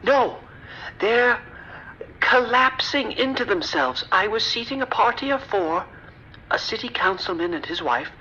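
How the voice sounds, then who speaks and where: A woman playing a horrified restaurant maître d' on an emergency call in an audio drama, formal but halting and distraught. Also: medium pitch, sing-song, sharp tone. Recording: noisy, dull radio-like call audio.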